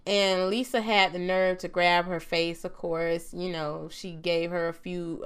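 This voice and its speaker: A woman reviewing a TV episode in a casual, chatty vlog, her voice a bit raspy as she gets over a cold, laughing often. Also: fairly low pitch, a bit bright. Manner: chatty, laughs often, trails off with "I'm just saying". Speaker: TV review vlogger.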